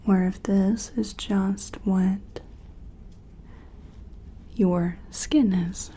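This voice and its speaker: The woman you'd hear in a late-night ASMR exam roleplay, gentle and unhurried, mellow, explaining each step tentatively over a faint hiss. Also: mid-range voice, steady tone. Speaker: ASMR performer playing a sci-fi examiner. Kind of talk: soft-spoken and whispered ASMR roleplay.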